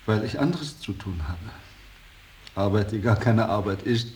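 A male actor as a disheartened younger artist with a fairly low voice. He speaks with respectful resignation and a plaintive edge. It is a radio drama, heard a little away from the mic. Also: lively intonation, moderate pace, a little mellow, lightly acted. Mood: apologetic, resigned. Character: discouraged, dutiful former painter, struggling newspaper writer, younger man. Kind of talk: German radio play acting. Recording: distant, slightly hissy radio drama recording.

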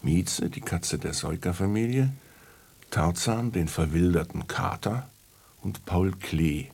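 An actor playing a wry, musing writer who narrates his story in a children's radio play, in a gravelly, husky voice. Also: low-pitched, expressive, slow pace, darkish tone, full character voice. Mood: storytelling.

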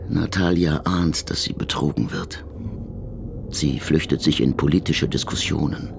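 A man's voice: extremely low pitch, unhurried, gravelly, heavily acted. A man narrating a literary radio drama, with noise behind his voice.

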